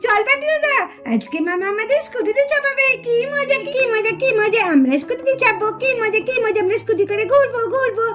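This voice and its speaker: A woman voicing eager, playful small children in a puppet cartoon, pleading and repeating themselves excitedly. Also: extremely high pitch, fairly quick, few pauses. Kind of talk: sing-song children's puppet cartoon acting.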